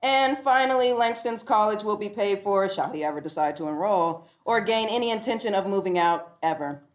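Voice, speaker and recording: Awkward official lawyer-type executor actress, mid voice, formal but hesitant, comedy read. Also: female voice, mid-range voice, animated, brisk, close to an everyday voice. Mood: officious. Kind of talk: live comedy table read.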